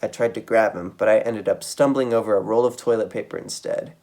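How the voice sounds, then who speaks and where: Expressive man reading a children's story. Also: fairly low pitch, brisk. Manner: dramatic, expressive delivery. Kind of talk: animated read-aloud of a children's book.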